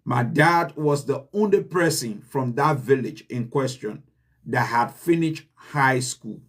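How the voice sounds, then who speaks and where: Slow, earnest Liberian man. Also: mid-range voice, lively intonation, a bit bright. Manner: earnest and deliberate, with repeated emphasis. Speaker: channel host. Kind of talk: motivational address.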